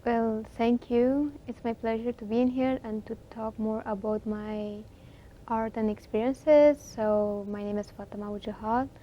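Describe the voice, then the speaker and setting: Young woman, halting interview answers. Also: fairly high pitch, steady tone, dull audio, slight background noise. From Afghanistan. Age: 20s. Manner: hesitant, many fillers and restarts. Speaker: guest, student artist.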